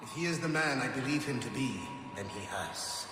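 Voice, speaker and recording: A man's voice: mid-range voice, expressive, moderate pace, lightly acted. Man as assured overseer in heavy echo. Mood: cool, certain. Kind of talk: video game dialogue. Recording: very echoey hall, loud music.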